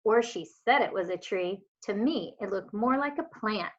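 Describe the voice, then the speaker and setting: A woman's voice: mid-range voice, expressive, normal speed. A woman, a teacher, reading a children's story aloud as narrator. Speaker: elementary school teacher. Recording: dull lo-fi home recording.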